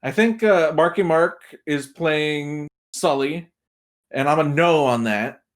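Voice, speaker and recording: A man with a somewhat high voice chats as a guest film critic on a podcast. He talks casually and tells things like a storyteller, slipping into little imagined reactions to make his point. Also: takes it slowly. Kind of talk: casual chat, giving film opinions.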